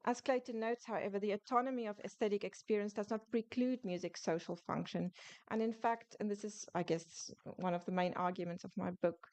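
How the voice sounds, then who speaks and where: A woman author presenting her book in a prepared academic lecture, reading in a measured way with small restarts. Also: medium pitch, steady tone, a little mellow. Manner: measured, reads closely from a script with small restarts.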